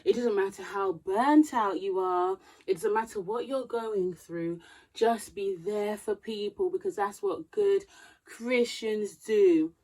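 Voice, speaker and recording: Woman, thirties, mellow, earnest preachy advice, video blog talk. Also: medium pitch, slow, poor audio. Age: 30s. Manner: earnest, preachy, turns animated and emphatic; reading from notes. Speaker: vlogger. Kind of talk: casual advice talk to camera.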